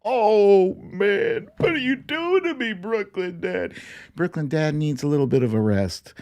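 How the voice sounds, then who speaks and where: Playful, theatrical man hosting, swooping pitch. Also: expressive, a little mellow. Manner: playful, theatrical, drawn-out exclamations. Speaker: host of a live streamed show. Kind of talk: live chat-show talk, greeting viewers by name.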